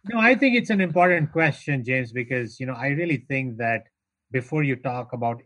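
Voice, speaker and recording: A man's voice: mid-range voice, lively intonation, moderate pace, fairly bright tone, dry recording. A middle-aged man from India with an Indian accent, telling his career story at length as a guest in a podcast interview, with frequent restarts. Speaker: guest, founder of an insurance tech business.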